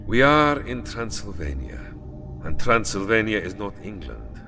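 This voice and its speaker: Casting: old vampire nobleman, low voice, courtly menace, grandiose and sweeping delivery, gothic audio drama. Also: big pitch swings, creaky, pushed and bright, full character voice. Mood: grave, imposing. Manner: formal, grandiose, deliberate phrasing. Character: Count Dracula, aristocratic old male vampire, courtly and menacing. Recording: long hall reverb, music underneath. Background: music.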